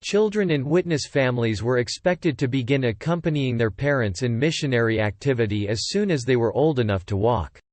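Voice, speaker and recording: A man narrating a scripted audiobook story in a measured storytelling manner. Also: mid-range voice, animated, darkish tone, dry recording.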